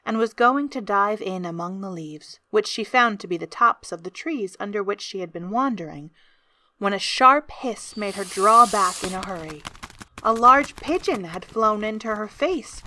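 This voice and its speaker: A woman reading scripted storybook narration for an audio drama, as an expressive storyteller. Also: medium pitch, normal speed, a little hiss or hum, low-quality recording. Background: music.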